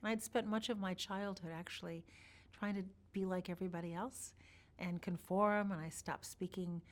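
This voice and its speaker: Woman interviewee, lowish voice, unhurried reflective storyteller. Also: steady tone. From born in Iran, grew up partly in Chicago. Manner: measured, thoughtful, warm recollection with pauses. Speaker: interview guest.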